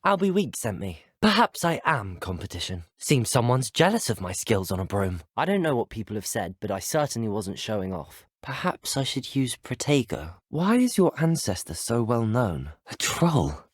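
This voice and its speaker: An actor playing a polite, plucky teenage student wizard in a video game, with a British accent and a courteous, dryly wry delivery. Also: male voice, mid-range voice, very animated, moderate pace, hardly any pauses, slightly rough, dry recording, studio quality. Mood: confident, curious. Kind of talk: video game voice acting, short dialogue lines.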